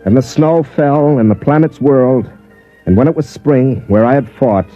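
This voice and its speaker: An actor playing a reflective veteran soldier, narrating a space tale in solemn, measured, lyrical 1950s radio drama style. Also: male voice, medium pitch, dark tone, close to an everyday voice. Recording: muffled old radio recording with music.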